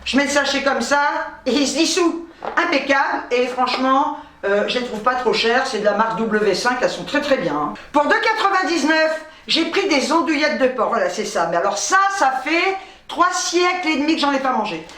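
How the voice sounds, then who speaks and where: A woman's voice: high-pitched, lively intonation, moderate pace, fairly bright tone. A middle-aged woman from North Africa chatting casually as a vlogger, rambling playfully with some salty language. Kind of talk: casual chatty grocery haul. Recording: roomy, distant microphone.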